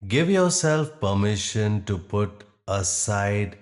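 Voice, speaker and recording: Male meditation guide: deep register, unhurried delivery, soothing and deliberate, with long pauses between phrases. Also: very animated.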